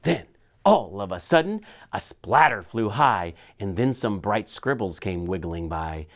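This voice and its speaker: A man's voice: on the low side, very animated, measured pace. A man reading a children's picture book aloud expressively, with dramatic shifts in his storytelling. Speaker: school superintendent reading aloud.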